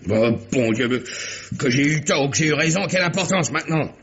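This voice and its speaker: An actor playing a brutal, sneering, impatient police lieutenant in a French radio crime drama, with husky, curt, threatening, slangy delivery. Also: male voice, a little high, lively intonation, brisk, fairly bright tone, heavily acted. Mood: bitter, impatient. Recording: dull vintage radio recording.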